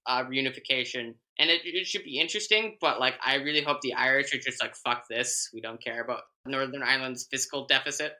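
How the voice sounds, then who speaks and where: A man's voice: medium pitch, fairly quick, hardly any pauses, sharp tone, low-quality recording. A man hosting a casual political podcast, rambling with lots of fillers. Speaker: podcast host.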